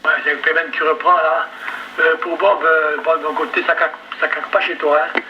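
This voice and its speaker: A man's voice: fairly high pitch, little pitch movement, normal speed. A man chatting casually as a radio amateur over a two-way radio. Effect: two-way radio transmission. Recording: dull, slightly noisy ham radio relay.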